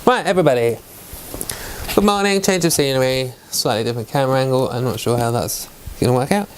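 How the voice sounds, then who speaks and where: A man hosting a daily Bible read-along, speaking in a measured, deliberate way. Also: medium pitch, slight background noise, low-quality recording. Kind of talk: reading scripture aloud with casual chat.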